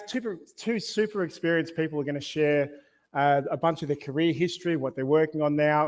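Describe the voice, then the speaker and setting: A man moderating a conference panel on stage, upbeat and encouraging with his guests. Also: a little high.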